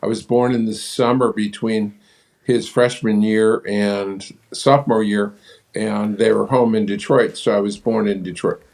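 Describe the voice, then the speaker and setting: An older man from upstate New York, guesting on an interview and recounting his past. His voice sits low and he talks in a plain, matter-of-fact way, with the odd filler as he gathers his thoughts. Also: fairly even intonation, unhurried, vocal fry, darkish tone, low-quality recording. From upstate New York, United States. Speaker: guest, businessman. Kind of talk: interview storytelling.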